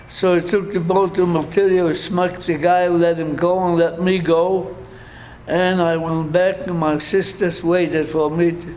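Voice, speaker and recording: Slow-spoken older man from Poland. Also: high voice, darkish tone, slight background noise, poor audio. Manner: slow, halting, with restarts and repeated phrases. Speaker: speaker telling his life story. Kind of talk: unscripted testimony to an audience.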